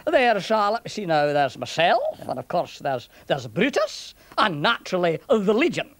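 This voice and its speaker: A man's voice: sits high, huge pitch swings, moderate pace, no room sound, full character voice. A man voicing a small, proud, chuckling Roman centurion with a Scottish accent in a children's puppet comedy. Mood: chatty, confiding. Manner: chatty, chuckling, repeats himself.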